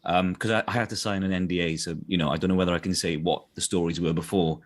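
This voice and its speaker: Low-voiced South Welsh man chatting. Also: steady tone, quick pace. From South Wales. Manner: chatty, hesitant restarts, often asks "do you know what I mean". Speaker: voice actor guest. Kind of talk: casual interview chat.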